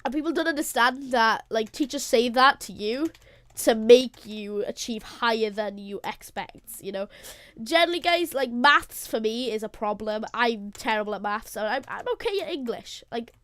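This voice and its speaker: Welsh teen girl co-host, native Welsh speaker, chatty and rambling, slightly high voice, dry close sound. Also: moderate pace, fairly bright tone, no room sound. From Wales. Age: teens. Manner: rambling, giggly, talks to viewers as "guys". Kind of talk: casual chat.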